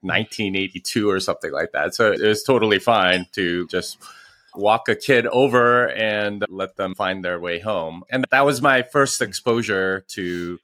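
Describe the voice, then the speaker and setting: Low-voiced Korean-born man, reflective. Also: bright, forceful tone, dry recording, studio quality. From South Korea. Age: 40s. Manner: reflective, pausing with frequent restarts. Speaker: podcast guest. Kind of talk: conversational interview.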